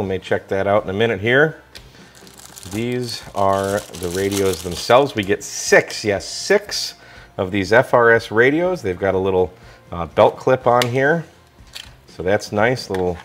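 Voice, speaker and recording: A man's voice: fairly low pitch, animated, a little slow, fairly bright tone, slight background noise. A man with an American accent giving a casual hands-on gadget review in an enthusiastic, colloquial way. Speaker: product reviewer.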